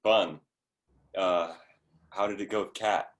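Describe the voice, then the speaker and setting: Low-voiced, blunt man acted in a play. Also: fairly even intonation, slow. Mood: teasing. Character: blunt, awkwardly tactful male investor friend. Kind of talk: staged play reading.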